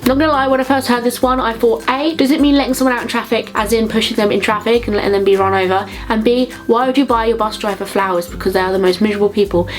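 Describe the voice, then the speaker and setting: A young woman with a southern English accent talks to her viewers in a fairly high voice. Her delivery is chatty and comedic, full of playful sarcasm and mild swearing, with music faintly behind her. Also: fairly even intonation, fast, few pauses. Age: young adult. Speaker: vlogger.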